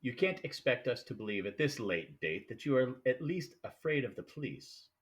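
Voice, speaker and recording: An actor playing a courtly, verbose, calculating crime boss in a radio play, with a pompous, formal and elaborately polite manner. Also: male voice, medium pitch, very animated, normal speed, close to an everyday voice. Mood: smug, courtly. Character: courtly, verbose, calculating male criminal mastermind. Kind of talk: 1940s-style radio play acting.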